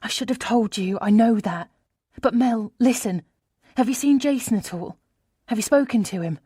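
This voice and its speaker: A woman's voice: fairly high pitch, normal speed, warm tone, breathy edge, dry recording, studio quality, pitched above the performer's own voice. Woman acting an anxious, apologetic runaway wife. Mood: apologetic, urgent.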